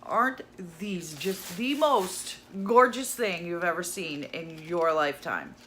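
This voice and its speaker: A woman's voice: fairly low pitch, animated, slow, a bit bright. A woman talking through a shopping haul in a casual, gushing way, losing her voice to a cold. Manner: chatty, gushing over cheap finds. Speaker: haul vlogger. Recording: audible noise in the background.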